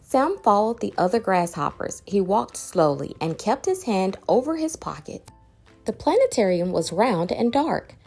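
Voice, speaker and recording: A woman narrates a children's picture book. Her delivery is very animated, with pitch that rises and falls for dramatic emphasis. Music accompanies the reading. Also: measured pace, breathy edge. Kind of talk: expressive storybook read-aloud.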